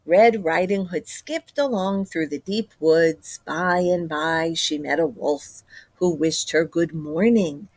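A woman's voice: fairly low pitch, takes it slowly, a little mellow, no echo. A middle-aged woman, a teacher telling a picture-book story expressively to children. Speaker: teacher reading aloud to children. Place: classroom, indoors.

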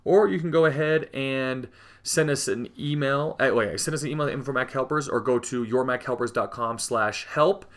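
A man's voice: medium pitch, a bit bright. A man hosting a tech tutorial, talking casually through an on-screen walkthrough with frequent fillers and self-corrections. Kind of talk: unscripted screen-recording walkthrough.

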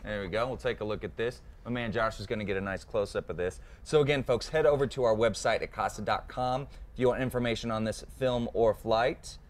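A man in his thirties hosting a morning TV studio interview, upbeat and chatty. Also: fairly low pitch. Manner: upbeat, quick to fill gaps with "mm-hmm". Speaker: morning TV host. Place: TV studio set, indoors.

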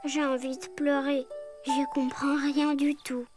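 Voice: high voice, little pitch movement, unhurried. A performer voicing a little bear cub boy in a preschool cartoon, childlike, simple and prone to whining. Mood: sulky, tearful. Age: child character. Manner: childlike, simple, whiny when upset. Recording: music behind the voice.

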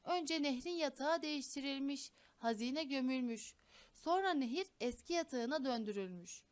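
A woman narrates fairy tales for children. She reads from a script as an expressive storyteller. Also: sits high, normal speed.

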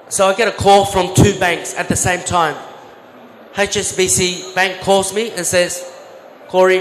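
A man's voice: sits high, a bit bright, a little hiss or hum. Chatty man on an echoey stage. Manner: chatty, self-correcting, explains with examples. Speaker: conference speaker, former bank trader. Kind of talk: live seminar talk with interpreter. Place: large expo hall stage. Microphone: handheld microphone. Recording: echoey hall with faint ambience.

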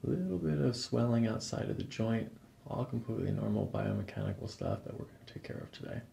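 A very gravelly American man with a dark, relaxed voice talks through a chiropractic exam. He explains calmly and puts short, clipped questions to the patient. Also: lowish voice, fast, a little hiss or hum. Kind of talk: hands-on clinical exam narration, ASMR. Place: small carpeted clinic office, indoors.

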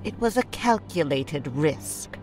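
A woman playing a sardonic, defiant former dark-side warrior in an audio drama, curt and insolent. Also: deep voice, lively intonation, slow. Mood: guarded, curt. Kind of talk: fan audio drama acting. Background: music.

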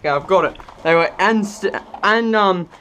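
Male caller, halting conversational delivery, slight noise on the recording. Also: high voice, bright, forceful tone. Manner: hesitant, halting. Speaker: prank caller. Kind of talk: phone conversation. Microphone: recorded beside a phone on speaker. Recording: faint background noise.

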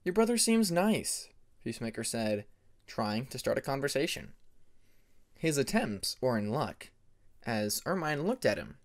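Man narrating a story, lively pitch. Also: a little slow, pauses often. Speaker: amateur audiobook narrator.

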